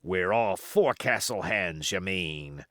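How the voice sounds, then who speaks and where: A man's voice: low-pitched, expressive, slow, a bit bright, no room sound, clean studio sound, full character voice. A man voicing a sly, boastful, commanding pirate cook in an audiobook, with emphatic rustic seafaring talk. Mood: scornful, exasperated. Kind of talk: audiobook character voice.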